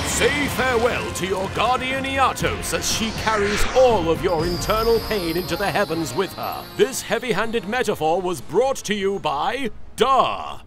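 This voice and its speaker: A man voicing a petulant, dramatic young card-duel hero in an anime parody, theatrical and indignant. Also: high voice.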